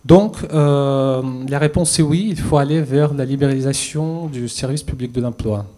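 A man's voice: medium pitch, dark tone. A man in his thirties, a policy expert on a conference panel, giving a prepared statement with many fillers and restarts into a handheld mic. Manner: measured, hesitant with many fillers. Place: conference stage with audience.

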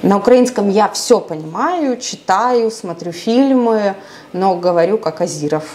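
A woman in her thirties who grew up in Russia, giving a frank, lively documentary interview in a small apartment on a lapel mic. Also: medium pitch, measured pace, a little hiss or hum. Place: small bedroom apartment, indoors.

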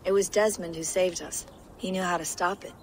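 An actress playing a calm, supportive tech operator. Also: female voice, fairly low pitch, a little fast, a little hiss or hum, natural voice. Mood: steady. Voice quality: calm, reassuring.

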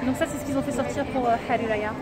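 High-voiced woman vlogging amid loud crowds. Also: steady tone, very fast. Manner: chatty, lots of hesitation fillers. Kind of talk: casual vlog chat to camera. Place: busy indoor bazaar hall, later a city bus. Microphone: phone held at arm's length. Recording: loud crowd and traffic noise.

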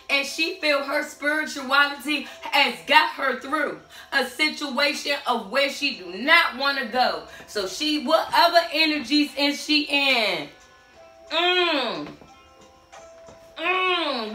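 A middle-aged woman with a hoarse voice giving a tarot reading to camera in a small room at home, sassy and sing-song, calling out to the spirits. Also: sits high, sharp tone. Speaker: tarot reader. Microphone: camera standing a few steps away. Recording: echoey room, far from the mic, faint music.

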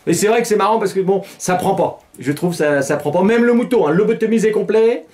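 A man's voice: high-pitched, brisk, soft background music. A middle-aged man hosting a satirical news show in a small studio, talking casually and mockingly, laughing often between jokes. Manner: sarcastic, laughs often, animated gestures. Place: small studio with green-screen news set.

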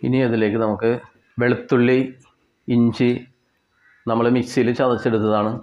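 Kerala guy talking thru a recipe step by step, pauses and repeats bits, kinda dull lofi audio. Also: mid-range voice, little pitch movement, a little mellow. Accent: Kerala Malayali. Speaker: home cook presenter.

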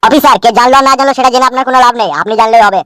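A man's voice: extremely high pitch, very fast, pushed and bright, smooth, no echo, clean studio sound. A man playing a smug, teasing henchman of a corrupt politician in a comic cartoon, mocking and bossy.

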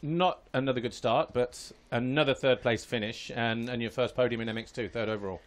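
A man's voice: medium pitch, brisk. A middle-aged man presents a live studio show with an easy, joking manner. He talks into a headset mic in a small indoor TV studio, sprinkling his chat with fillers. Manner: jokey, relaxed presenter with frequent fillers.

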